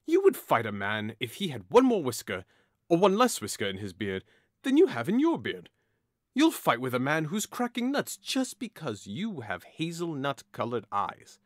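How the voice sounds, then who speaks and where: A man playing a hot-headed, mocking young nobleman, swinging pitch. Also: wildly swooping pitch, normal speed, no room sound, studio quality. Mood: teasing, mocking. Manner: theatrical, taunting. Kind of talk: audio drama acting.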